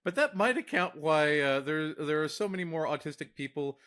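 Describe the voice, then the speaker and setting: A man's voice: moderate pace, a bit bright. A man, co-hosting a casual podcast as a diagnostician, often restarting his words and laughing easily. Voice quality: deep voice.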